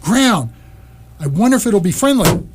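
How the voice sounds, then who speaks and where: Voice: mid-range voice, big pitch swings, moderate pace, a little hiss or hum, natural voice. A performer playing a bewildered, innocent newborn whale in radio theatre, chattering with eager wonder and questioning everything. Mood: excited wonder. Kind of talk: staged radio theatre acting.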